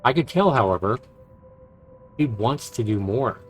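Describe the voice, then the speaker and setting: A man's voice: medium pitch, animated, unhurried. A man who streams games, reading visual novel narration aloud and reacting to it. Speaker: game streamer. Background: game music.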